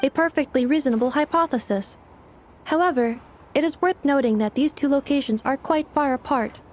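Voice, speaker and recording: A polite young analyst from a video game, voiced by a woman, speaks in a high voice. Every phrase is formal, precise and detached, like clinical reasoning. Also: a little fast, close to an everyday voice. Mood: analytical.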